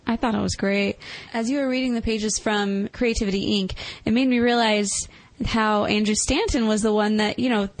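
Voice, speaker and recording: Creaky-voiced woman in casual chat. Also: medium pitch, no room sound, poor audio. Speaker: podcast co-host reviewing films.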